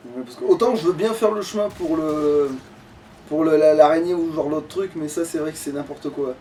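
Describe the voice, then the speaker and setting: A man's voice: a little high, moderate pace, mellow, slight background noise. A man grumbling and swearing casually as he comments on the video game he is playing. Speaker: gaming let's play player. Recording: distant mic, game music underneath.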